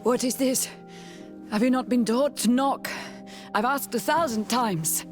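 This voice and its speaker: A woman's voice: a little high, a little slow, soft background music, lightly acted. An actress playing a frail, dying noble mother in a video game, weary and tender. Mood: irritated. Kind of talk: video game character acting.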